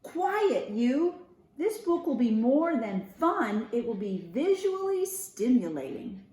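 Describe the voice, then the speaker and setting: A woman's voice: high voice, big pitch swings, takes it slowly, warm tone, exaggerated voice. A woman voicing a bossy, exasperated, self-important male mouse from a picture book, emphatic and scolding. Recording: roomy echo.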